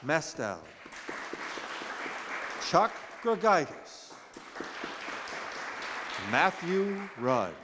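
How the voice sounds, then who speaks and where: A man playing a formal, courteous 1930s radio announcer and gentleman, speaking in a measured way. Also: a little high, big pitch swings, a crowd in the background, exaggerated voice. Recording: noisy echoing hall with audience.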